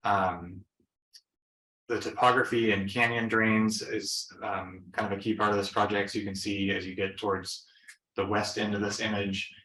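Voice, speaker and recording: A man presents at a conference in a low, flat voice with a bright edge. He explains plainly, with fillers along the way. The room adds a clear echo. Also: normal speed, slight background noise. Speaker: presenter, engineering consultant.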